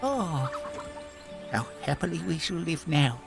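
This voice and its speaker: Meek storybook fisherman, high-pitched and theatrical, very animated, for audio drama. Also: creaky, over music, exaggerated voice. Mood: delighted. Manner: theatrical, drawn-out, expressive. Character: humble, simple fisherman, meek husband. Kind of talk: full-cast fairy tale audio drama acting.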